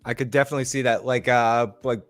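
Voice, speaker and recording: A man's voice: mid-range voice, steady tone, fast, no echo, faint music behind. A man with a Boston accent co-hosting a casual podcast, easygoing and agreeable, often restarting his sentences. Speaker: co-host.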